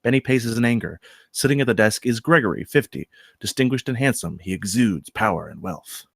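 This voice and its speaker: A man reading screenplay stage directions aloud as narrator, with deliberate dramatic emphasis. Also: lowish voice, lively intonation, vocal fry, no room sound, clean studio sound.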